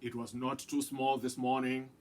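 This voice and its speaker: A man voicing a wise, elderly Indian grandfather in a storybook, speaking in a measured, emphatic, instructive way. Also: mid-range voice, level tone, slight background noise, close to an everyday voice. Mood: firm, questioning.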